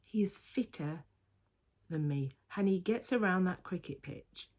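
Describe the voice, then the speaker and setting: A woman's voice: fairly low pitch, animated, measured pace, darkish tone. A woman in her sixties from the UK, chatting casually and reflectively to camera on her vlog, with filler words and restarts. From United Kingdom. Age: 60s. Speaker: vlogger.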